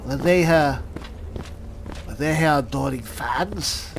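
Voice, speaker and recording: A man roleplaying an earnest, worried cleric adventurer in a fantasy game, musing aloud in a halting manner. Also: a little high, a little hiss or hum. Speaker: gamer playing a roleplay. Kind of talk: in-character improvised roleplay narration. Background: music.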